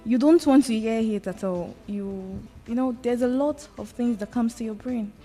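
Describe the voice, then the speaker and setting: A woman's voice: fairly high pitch, moderate pace, mellow, soft background music. A young adult woman speaking as a radio panellist in simple, somewhat hesitant phrases. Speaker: panel discussant.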